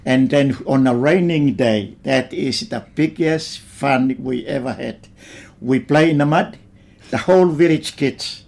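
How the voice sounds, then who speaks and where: Slow-talking older man from Tonga. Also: mid-range voice, creaky, darkish tone. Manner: slow, plain reminiscing with simple phrasing. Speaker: interviewee. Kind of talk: oral history interview.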